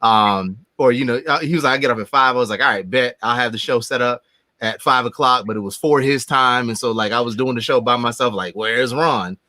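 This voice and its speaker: A man's voice: medium pitch, brisk, pushed and bright, no room sound. A man in his thirties hosting a podcast, talking in a loose, joking way with many fillers. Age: 30s. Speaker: podcast host. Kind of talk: casual interview chat.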